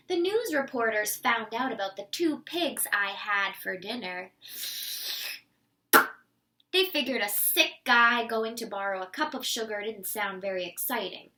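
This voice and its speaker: A woman's voice: fairly high pitch, sharp tone, full character voice. A woman voicing a wronged male wolf in a children's read-aloud, theatrical and emphatic as he pleads his innocence. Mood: indignant. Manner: theatrical, emphatic storytelling with huffs and gasps. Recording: voice some distance from the mic.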